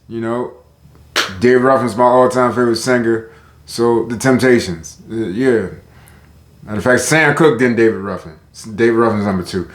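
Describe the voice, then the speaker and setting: A man with a deep voice rambling casually to his viewers, interrupting himself and often asking if they know what he's saying. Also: reverberant. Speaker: vlogger. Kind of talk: casual talk to camera.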